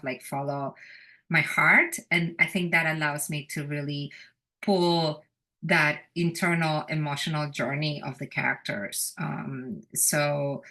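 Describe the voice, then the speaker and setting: Loud low-pitched woman, bright tone. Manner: emotional, loose, many fillers and restarts. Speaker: film editor and director. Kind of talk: casual interview answer.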